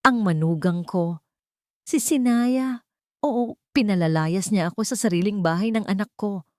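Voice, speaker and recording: A woman voicing a domineering, self-pitying older mother in a story, sharp, plaintive and dramatic. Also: medium pitch, measured pace, no room sound, studio quality, pitched above the performer's own voice, close to an everyday voice. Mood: mournful, aggrieved.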